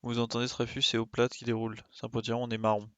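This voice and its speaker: A man's voice: mid-range voice, completely flat delivery, fast, no echo, lo-fi sound. A man doing casual live game commentary, thinking aloud in informal slang and exclaiming as he plays. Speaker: game streamer.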